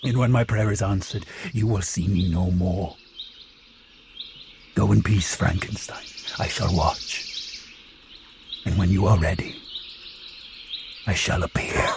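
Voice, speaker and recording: An actor playing an anguished, vengeful, eloquent monster in an audio drama, speaking in solemn, grandiose oratory. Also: male voice, deep voice, steady tone, takes it slowly, gravelly, pauses often, a little hiss or hum, full character voice. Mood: solemn, resolute. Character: anguished, vengeful, eloquent male monster pleading with his maker.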